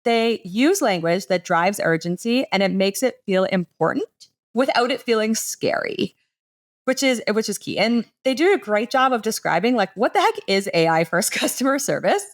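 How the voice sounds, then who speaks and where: A woman speaks at a medium pitch, like a podcast panelist walking colleagues through a case study. Her delivery is conversational and enthusiastic. She explains clearly in casual, everyday phrasing. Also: animated, normal speed. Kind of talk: conversational business presentation.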